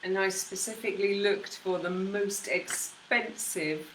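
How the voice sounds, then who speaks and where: A woman co-hosting a casual live stream, making relaxed, teasing remarks and reading out a quiz question. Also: mid-range voice, unhurried. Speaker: co-host. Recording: roomy sound with faint background noise.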